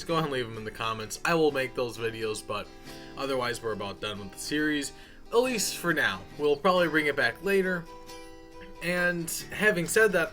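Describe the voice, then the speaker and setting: A man's voice: a little high, bright, forceful tone. A man giving a casual, unscripted update as a channel host, talking informally in a folksy way and addressing viewers as y'all.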